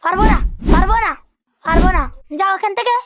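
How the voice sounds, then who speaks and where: A woman plays a young cartoon schoolboy with an extremely high, childlike voice. He is bossy and commanding, a little ringleader giving out orders to his gang. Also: fast, a bit bright, no room sound, clean studio sound. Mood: bossy, defiant. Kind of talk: amateur children's cartoon dub.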